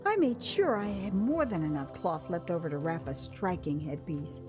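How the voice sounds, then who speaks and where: Expressive American woman storyteller, music behind. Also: lowish voice, moderate pace. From United States. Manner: theatrical, expressive, savouring words. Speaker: author narrating own audio drama. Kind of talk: dramatised memoir storytelling.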